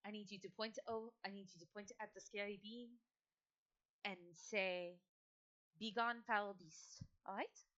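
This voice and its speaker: Woman playing a gentle, protective adventurer, slightly muffled. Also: medium pitch, steady tone, measured pace. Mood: coaxing, encouraging. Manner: gentle, coaxing, instructive. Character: kindly protective female adventurer humouring a small child.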